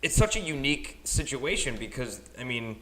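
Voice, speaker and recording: Bright chatty podcast host man. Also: mid-range voice, big pitch swings, moderate pace, slight background noise, poor audio. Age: 30s. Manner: casual, chatty, encouraging. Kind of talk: casual interview.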